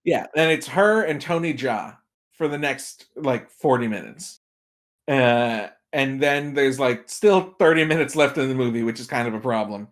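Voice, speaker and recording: A man's voice: mid-range voice, normal speed. A man talking casually as a podcast guest, giving film opinions and acting out imagined reactions as he tells it. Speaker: podcast guest, movie critic.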